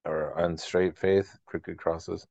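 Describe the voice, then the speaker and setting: A man's voice: low-pitched, steady tone, slow pace, a little mellow, dry recording, lo-fi sound. A man co-hosting a casual music chat, speaking in a reflective, hesitant way peppered with filler words. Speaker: co-host of a music discussion show.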